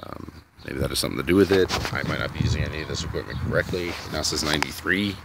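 A man's voice: rumbling low, rapid-fire, creaky, heavy background noise. A man vlogging outdoors in a sunny yard, thinking aloud in a rambling, unsure way while filming on a phone. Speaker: DIY vlogger. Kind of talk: casual thinking-aloud commentary.

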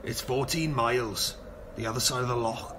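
A man playing a gruff, suspicious Scottish crofter in a comic radio play, blunt and terse. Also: medium pitch, moderate pace, lightly acted. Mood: guarded, evasive. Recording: faint noise, homemade recording.

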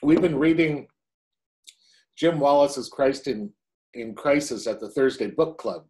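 A man's voice: medium pitch, a little slow, a little mellow, low-quality recording. A man in his sixties, a pastor, preaching a sermon from home to a webcam in a chatty way, with wry asides.

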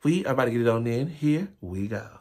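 A man's voice: mid-range voice, animated, fast talker, darkish tone. A young man reacting to a music video trailer in his living room, talking casually with playful, dramatic exclamations. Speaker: reaction YouTuber. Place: small home living room, indoors.

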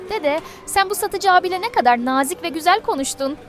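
A woman's voice: extremely high pitch, expressive, a little fast, fairly bright tone. A woman voicing a curious, polite little granddaughter in a children's cartoon.

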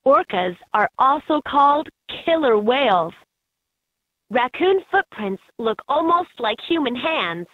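A woman's voice: high-pitched, sing-song, unhurried, dry recording, heavily acted. A woman reading scripted narration as the guide in a children's learning game, recorded as lo-fi toy audio. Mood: instructive.

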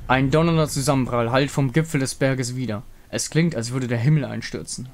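A man's voice: medium pitch, a little fast. A man narrating a fantasy game story as a scripted, dramatic reading. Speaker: narrator voicing a visual novel. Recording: music behind the voice. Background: music.

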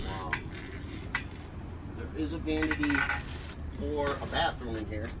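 Male treasure-hunt vlogger, casual and complaining in a playful way, recorded with very loud background rumble. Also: lively intonation, a little slow. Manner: grumbling, half-joking commentary. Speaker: treasure hunter going through a storage unit. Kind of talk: casual unscripted chat while sorting items. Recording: very noisy handheld recording, engine-like rumble.

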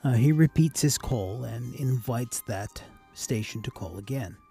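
Mellow, gravelly man presenting a tutorial. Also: medium pitch, measured pace, soft background music. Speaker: amateur radio operator hosting a tutorial. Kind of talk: casual instructional voice-over.

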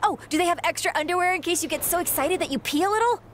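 A woman voicing an excitable young female wrestling fan who talks in a bubbly, giggly way. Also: extremely high pitch, rapid-fire, a little hiss or hum. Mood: gushing.

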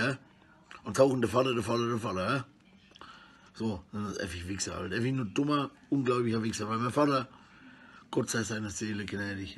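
A middle-aged man with a husky voice rambles casually and coarsely in slang, talking to his phone at arm's length indoors. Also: fairly low pitch, a bit bright, slight background noise. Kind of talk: rambling casual selfie monologue. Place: small indoor room at home.

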